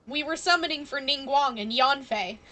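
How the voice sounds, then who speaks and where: A woman's voice: high-pitched, moderate pace, sharp tone. A woman live streaming a game, chatting casually and playfully with viewers. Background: game music.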